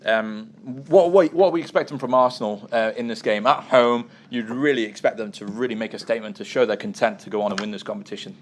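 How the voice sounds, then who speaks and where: A man hosting a casual sports preview show, chatting with a co-host and often pausing with ums and uhs. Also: fairly low pitch.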